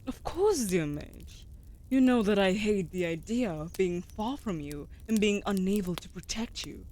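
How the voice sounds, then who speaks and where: A female wolf familiar acted by a woman with a medium-pitched voice. She is earnest, protective and devoted, speaking in formal, firmly reassuring lines as in a fantasy audio drama. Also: expressive, unhurried, dark tone, natural voice. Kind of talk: scripted fantasy audio roleplay.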